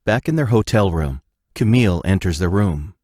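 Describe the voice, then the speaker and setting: Low male voice, scripted narration, scene announcer, audio drama. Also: expressive, normal speed, dark tone.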